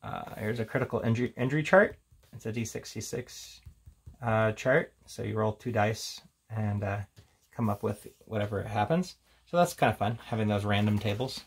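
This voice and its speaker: A man's voice: lowish voice, moderate pace, husky. A man giving a casual, unscripted, rambling commentary as a tabletop game reviewer, reading out headings between offhand remarks.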